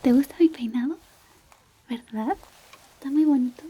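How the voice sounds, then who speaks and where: A woman doing a soft-spoken, half-whispered ASMR roleplay as a shy, caring high school classmate, gentle and hesitant. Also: normal speed, breathy edge. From Chile.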